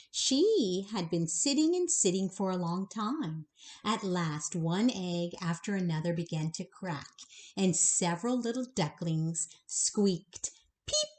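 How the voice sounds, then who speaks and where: A woman reading a children's bedtime story aloud in an expressive way, speaking directly to young listeners. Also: on the low side. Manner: slow, animated, pausing to address the child listeners directly.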